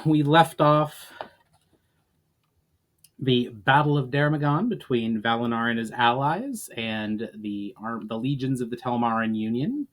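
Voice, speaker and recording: Male author-narrator for fantasy storytelling, medium pitch, measured and formal delivery. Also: fairly bright tone. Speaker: author reading own book. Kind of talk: dramatic audiobook-style narration of fantasy fiction.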